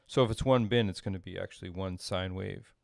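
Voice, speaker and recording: A middle-aged man giving an informal tutorial at his desk, thinking aloud in a halting way as he explains. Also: sits low, gravelly, dry recording, studio quality. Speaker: composer demonstrating audio programming. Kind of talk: informal screen-share tutorial. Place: small indoor room at a desk.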